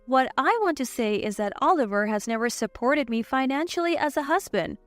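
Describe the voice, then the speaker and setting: A woman's voice: high voice, expressive, a little fast, dry recording, soft background music. A woman reading a scripted first-person story as its narrator.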